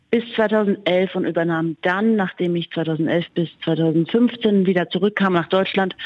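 A woman's voice: fairly low pitch, dry recording, dull audio. A woman journalist from Germany answering interview questions, building emphatic lists as she speaks. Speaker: journalist guest.

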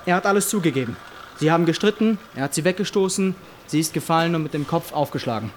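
An actor playing a hard, unrepentant police investigator in a German radio crime drama. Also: male voice, fairly high pitch, animated, fast talker, slight background noise, natural voice. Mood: curt, defiant.

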